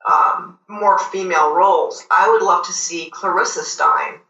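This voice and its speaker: A woman in casual TV review chat, speaking deliberately and emphatically, repeating phrases for effect. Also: fairly low pitch, steady tone, sharp tone, muffled recording. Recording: echoey, roomy sound.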